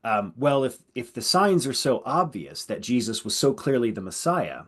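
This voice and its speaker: Husky-voiced, animated man podcast host. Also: medium pitch, normal speed. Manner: animated, chatty, frequent "you know" and "right?". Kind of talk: casual conversational discussion.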